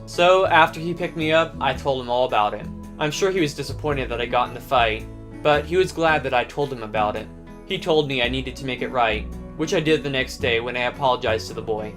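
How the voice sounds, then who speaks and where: Man telling a personal story over guitar music. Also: mid-range voice. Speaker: host and narrator. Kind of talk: scripted personal storytelling narration. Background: plucked guitar music.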